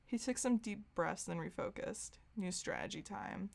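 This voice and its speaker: A woman with an average-pitched voice hosts by reading fan fiction aloud. She narrates for comic effect and is prone to breaking up with giggles. Her own remarks slip in between the sentences. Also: moderate pace, vocal fry. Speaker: podcast host reading aloud.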